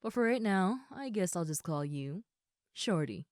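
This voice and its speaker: A woman playing a big, friendly ogre roommate in an audio roleplay, chatting casually and gently to the listener. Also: lowish voice, lively intonation, a little slow, no echo, studio quality. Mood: friendly, teasing. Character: huge muscular red female ogre roommate, friendly and easygoing.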